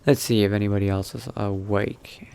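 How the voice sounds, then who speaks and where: A man narrating his role-play gameplay in character, musing to himself in an unhurried, gravelly voice. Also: fairly low pitch, steady tone, darkish tone. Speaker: gaming video host, role-playing player.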